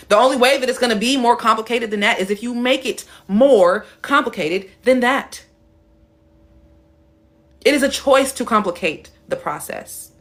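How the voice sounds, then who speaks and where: A young woman talks straight to her viewers on a live stream, blunt and emphatic, swearing freely. She plays with her voice, dropping it low and deep or making it high and animated. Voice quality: playful, shifts her voice between high and deep. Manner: blunt, emphatic and swearing, reading out chat comments.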